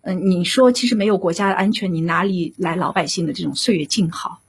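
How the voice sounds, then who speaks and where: Very husky woman interview guest. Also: on the low side, fairly quick. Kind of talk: conversational interview answers.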